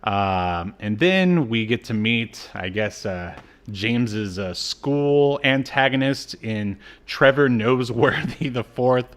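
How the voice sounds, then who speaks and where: A man's voice: medium pitch, expressive, slow. A man co-hosting a podcast, chatting casually and recapping a show in a sardonic, jokey way. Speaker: podcast co-host. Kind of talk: casual comedic chat recapping a cartoon.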